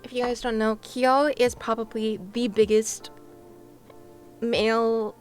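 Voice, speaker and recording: A woman's voice: a little high, flat delivery, slow, soft background music. A woman livestreaming and chatting casually with her viewers, giggly and playful, with gushing exclamations and sing-song asides. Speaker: live streamer.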